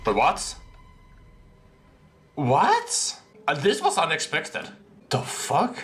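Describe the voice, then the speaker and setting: Excitable young guy gaming on a headset. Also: on the high side, drawn-out words, faint music behind. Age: young adult. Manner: excitable, laughs often, slangy. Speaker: gaming streamer. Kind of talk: casual gameplay commentary. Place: small room at a desk.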